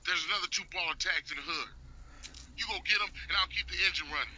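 A man's voice: fairly high pitch, fast talker, bright, forceful tone, muffled recording. A man voicing a streetwise young male gang member in a video game, talking bossy street slang over game noise.

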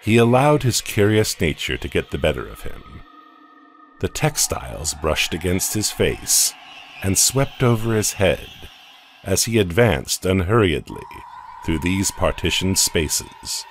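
Slow, very deep-voiced male narrator. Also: big pitch swings, slight background noise. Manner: slow, deliberate, dramatic. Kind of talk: dramatic horror narration.

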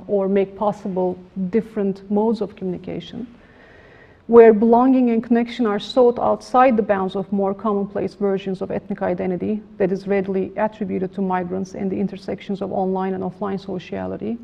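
A middle-aged woman giving a formal academic lecture in a room with a projector, measured and halting with frequent restarts. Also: medium pitch, creaky, dark tone. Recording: slightly dull lo-fi room recording.

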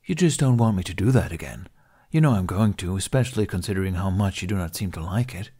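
A man voicing a proud, smug, blunt male dragon with formal, deadpan phrasing in an audiobook. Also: sits low, fast, breathy edge. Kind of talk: audiobook character acting.

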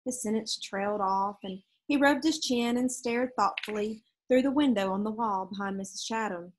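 A woman's voice: medium pitch, moderate pace, slight background noise, rough recording. A woman in her thirties reading a children's storybook aloud expressively outdoors. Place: outdoors on a leafy backyard porch.

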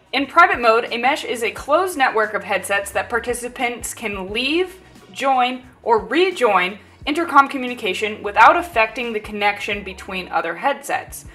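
A woman with a fairly high voice narrates a product tutorial. She reads scripted, step-by-step instructions, with faint music playing behind her. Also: sharp tone. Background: background music.

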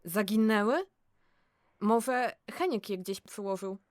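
A woman's voice: mid-range voice, expressive, slow, no room sound, clean studio sound. A woman playing a chatty, curious and helpful office colleague in an audio drama. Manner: chatty, exclamatory, eager. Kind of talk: amateur audio drama acting.

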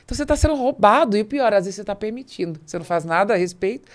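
A woman's voice: mid-range voice, animated, racing. A middle-aged Brazilian woman hosting a business podcast, explaining her points emphatically with repetition for stress. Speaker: podcast host. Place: small padded podcast studio. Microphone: close studio mic on boom arm.

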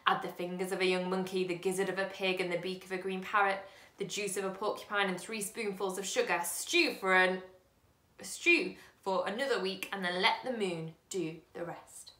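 Woman putting on a creaky, secretive old man. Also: on the low side, a bit bright, roomy sound. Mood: wild, excited. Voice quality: slow and creaky. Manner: coaxing, secretive whispers. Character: small, bald, whiskered, sly old man.

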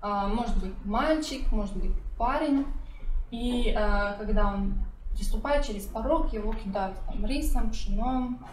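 A woman's voice: on the high side, unhurried. Young woman, echoey kitchen. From Serbia. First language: Serbian. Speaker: guest. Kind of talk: casual conversation. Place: home kitchen, indoors. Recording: roomy kitchen from far off, faint hiss.

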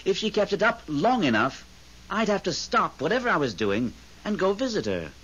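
An actor playing a jaded, sardonic long-dead ghost in a radio drama, urbane, dry and world-weary. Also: male voice, high voice, big pitch swings, moderate pace, a bit bright, husky, full character voice. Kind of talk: 1970s radio drama acting. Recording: muffled, hissy old radio recording.

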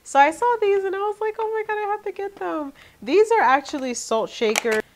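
A woman's voice: very high voice, a little fast. A young woman with a nasal, congested voice chatting to her viewers about her shopping haul in her living room. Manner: chatty, animated, talks to viewers directly. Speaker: vlogger. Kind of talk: casual haul video chat.

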